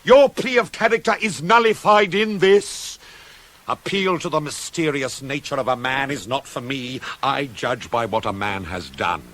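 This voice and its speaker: A man's voice: medium pitch, a little slow, a bit bright, lightly acted. An actor playing a wrathful, bitter older king and father, scornful, imperious and declamatory, in a classical tragedy for radio. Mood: furious, commanding.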